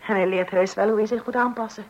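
A woman's voice: medium pitch, steady tone, quick pace, dull audio, lightly acted. An actress playing a long-suffering wife and mother in a Dutch radio play, hesitant and placating. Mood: soothing. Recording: hissy old radio recording.

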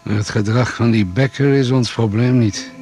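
An actor playing a matter-of-fact doctor who survived a crash, measured and no-nonsense, in a Dutch radio play. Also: male voice, medium pitch, a little mellow, husky, natural voice. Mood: grave, factual.

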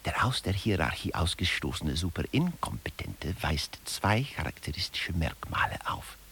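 A man's voice: deep voice, sing-song, moderate pace, vocal fry, a bit bright, in a whisper, full character voice. A man playing a pedantic professor in a satirical radio play, summing up findings with emphatic, scripted delivery. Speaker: lecturer. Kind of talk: scripted satirical lecture narration.

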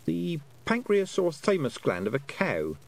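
A man's voice: fairly high pitch, wildly swooping pitch, a little slow, creaky, voice pushed up, exaggerated voice. A man reading aloud, voicing a sensible, practical young girl who speaks plainly and directly. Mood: level.

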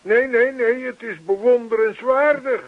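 An actor playing an earnest, lonely old widower in a radio play, talkative and old-fashioned, repeating himself. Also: male voice, extremely high pitch, measured pace, narrow, tinny audio. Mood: warmly earnest. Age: older.

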